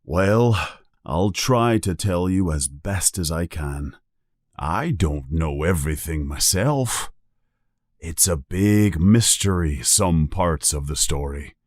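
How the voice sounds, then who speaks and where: A man voicing a big gruff but kind-hearted giant in a storybook reading, growling low and slow. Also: animated, a little mellow, no room sound, clean studio sound, full character voice. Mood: gruff, troubled.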